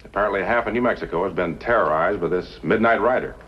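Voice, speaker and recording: A man playing a folksy, plain-spoken, sympathetic frontier marshal in a 1950s western, with a husky voice. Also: low-pitched, fast talker, a little hiss or hum, natural voice. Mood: concerned, friendly. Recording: muffled vintage TV soundtrack.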